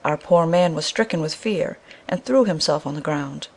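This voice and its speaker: A woman storyteller reads a scripted fairy tale. Her voice sits fairly low for a woman and has a noticeable creak. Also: normal speed. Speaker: storyteller, narrator.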